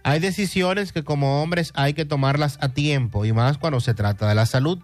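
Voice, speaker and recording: A man reads radio ads in a measured, promotional way, his voice sitting fairly high. The studio sound is dry, with faint music playing underneath. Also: dry recording. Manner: measured, clear promotional delivery. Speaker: ad announcer. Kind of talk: radio advertisement reading.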